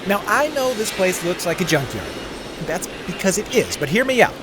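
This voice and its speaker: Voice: medium pitch, very animated, normal speed, over music, lightly acted. A performer playing a friendly, eager, chatty junkyard spaceship mechanic in a radio drama. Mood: persuasive. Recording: clear vehicle ambience behind voice.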